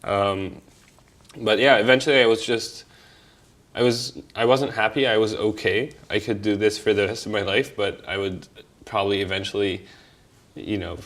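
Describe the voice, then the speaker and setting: A young man from Egypt recounts his life in a loose, unscripted way. He stops and restarts often, leans on fillers, and drops the odd curse. His voice sits a little low. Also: steady tone, fairly bright tone. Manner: halting, many fillers and restarts; candid, offhand swearing. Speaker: interviewee telling his life story. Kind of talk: casual personal storytelling.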